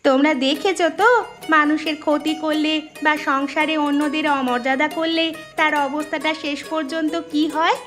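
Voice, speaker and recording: Woman voicing an earnest, high-pitched young daughter-in-law. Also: fairly even intonation, moderate pace, fairly bright tone, faint music behind. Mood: instructive, friendly. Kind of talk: Bengali moral cartoon dialogue.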